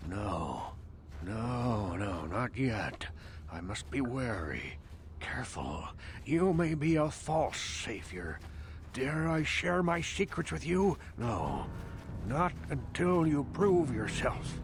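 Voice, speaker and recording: A man's voice: medium pitch, sing-song, slow pace, slight background noise. A man voicing a hundred-year-old village outcast in a video game, a mystical, wary elder who speaks in formal, portentous questions. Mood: wary, pleading. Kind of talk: theatrical video game voice acting.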